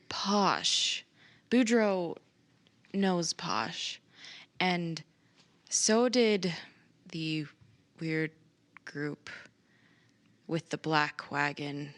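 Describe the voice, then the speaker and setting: A woman playing a tabletop game, explaining events in character in a halting, explanatory manner. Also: fairly low pitch, no echo.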